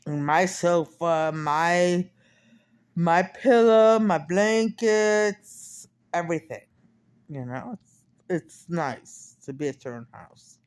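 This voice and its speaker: A woman's voice: lowish voice, expressive, slow pace, a little mellow, smooth. A woman in her fifties chatting casually in a rambling personal diary style, often repeating and correcting herself. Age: 50s. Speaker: personal vlogger.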